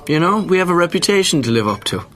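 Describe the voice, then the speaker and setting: A man's voice: on the high side, big pitch swings, fast talker, fairly bright tone. An Irish actor playing a cocky, cynical male journalist in a naturalistic radio drama, with dry, sardonic banter. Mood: cocky, teasing.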